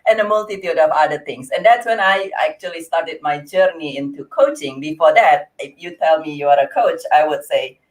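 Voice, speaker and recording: A woman in her forties with an Indonesian accent, a guest in an interview, speaking earnestly with frequent laughs and halting restarts. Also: low-pitched, lively intonation, rough recording. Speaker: interview guest, grief coach. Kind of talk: conversational interview.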